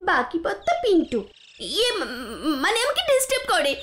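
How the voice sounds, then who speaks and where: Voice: extremely high pitch, very animated, normal speed, a little hiss or hum, full character voice. A performer voicing an indignant teenage schoolgirl in a cartoon. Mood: flustered. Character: indignant teenage girl student, shrill cartoon character. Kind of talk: cartoon character dialogue. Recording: loud music behind.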